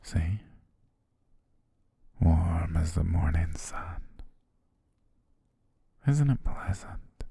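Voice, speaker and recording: A young man playing a devoted, quietly possessive butler, speaking softly and doting in an ASMR roleplay on a close mic. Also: sits low, lively intonation, drawn-out words, vocal fry, long pauses, husky, dry recording, full character voice. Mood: soothing whisper. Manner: soft, coaxing, doting, lulling. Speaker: ASMR voice actor.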